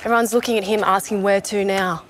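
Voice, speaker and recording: A woman's voice: mid-range voice, fairly even intonation, fast, fairly bright tone, a little hiss or hum, close to an everyday voice. A woman playing a jaded, opinionated vegetarian zombie in a comedy film, talking in a sardonic, sweary, conversational rant. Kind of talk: naturalistic short-film comedy acting, mock interview.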